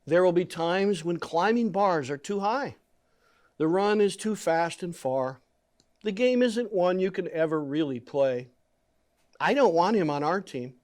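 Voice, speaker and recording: A man reading a children's picture book aloud in a gentle, deliberate storytelling manner, leaning on key words. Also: high-pitched, expressive. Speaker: guest story reader.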